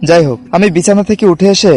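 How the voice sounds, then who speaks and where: A man's voice: high-pitched, quick pace, a little mellow, faint music behind, close to an everyday voice. A man acting a troubled village doctor who narrates his own ghost story in a scripted, suspenseful horror style. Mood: grave, suspenseful.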